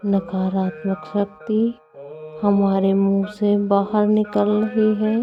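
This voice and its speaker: A woman leading a guided meditation, giving gentle, deliberate instructions with drawn-out phrasing and long pauses. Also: mid-range voice, monotone. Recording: dull lo-fi audio with music. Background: background music.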